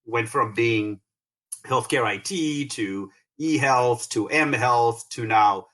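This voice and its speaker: A middle-aged man from Tanzania, a company founder, talking through his career in a relaxed interview with plenty of fillers and restarts. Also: medium pitch. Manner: halting, many fillers and restarts. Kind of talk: conversational interview.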